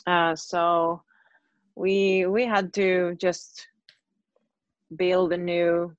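A woman who co-owns a gym, answering interview questions steadily, with many pauses and fillers. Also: fairly low pitch, level tone, no echo. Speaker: gym owner and coach. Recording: dull, dry lo-fi sound.

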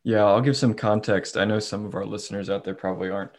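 A man hosting an interview podcast, introducing his guest and asking questions in a friendly, upbeat way with quick asides. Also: lowish voice, level tone. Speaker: podcast host.

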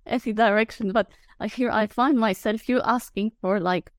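Soft-spoken woman podcast host. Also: normal speed, no room sound, studio quality. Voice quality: low, soft voice. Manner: laughs often, hesitant with fillers. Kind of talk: casual conversational chat.

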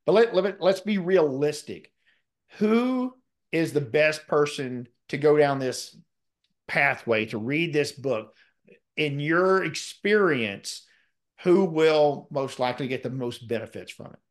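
A man's voice: fairly high pitch, lively intonation, slow. A man hosting an interview who rambles and repeats words as he frames his questions. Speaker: podcast host.

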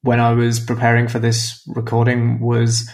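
A man's voice: medium pitch, completely flat delivery, normal speed, a little mellow. A man hosting a podcast interview, reading an intro and then asking casual questions. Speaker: podcast host.

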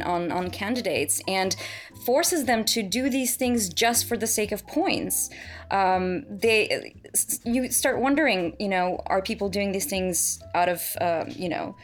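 A woman's voice: medium pitch, expressive, measured pace, faint music behind. A woman in her thirties from Serbia, a surgeon guest chatting casually on a podcast, thoughtful and hesitant with many ums and restarts.

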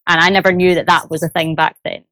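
A young woman with a Glaswegian accent, a sales rep and guest on a podcast, talking in a friendly, lively way. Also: fairly low pitch, fast talker, no echo. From Scotland. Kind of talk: podcast interview.

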